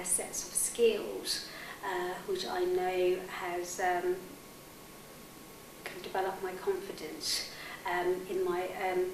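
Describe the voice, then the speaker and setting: A woman in her thirties, originally from Bangladesh, giving a reflective interview testimonial in a halting, thinking-aloud manner. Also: on the low side. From born in Bangladesh, moved to the UK as a child. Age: 30s. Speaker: arts fellowship participant, artist educator. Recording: roomy, distant mic, clearly noisy.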